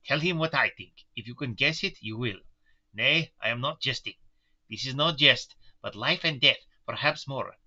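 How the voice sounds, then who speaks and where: A kindly, grave old professor with a thick German-style accent, as a man puts him on, choosing his words with quaint, broken formality. The voice comes through very bright and sharp. The recording itself sounds dull and lo-fi. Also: mid-range voice. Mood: earnest. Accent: put-on German-style accent. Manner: halting, broken formal phrasing.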